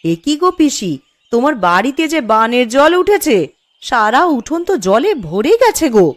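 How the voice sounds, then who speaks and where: A woman's voice: high-pitched, very animated, moderate pace, no echo. A woman voicing a caring, fretful younger village woman in a cartoon story, with an entreating manner. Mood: concerned.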